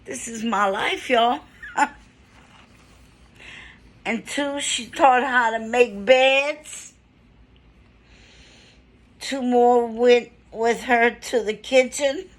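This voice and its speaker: A woman reading a children's storybook aloud in short phrases with pauses, now and then adding casual asides. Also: on the high side. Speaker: storybook reader.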